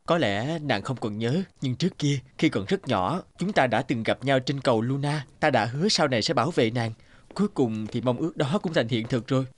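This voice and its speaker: A man voicing a devoted, courteous young prince in an animated fairy tale, speaking in a tender, courtly way. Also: mid-range voice, fairly quick, slight background noise, lightly acted. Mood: tender, admiring. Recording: music behind the voice.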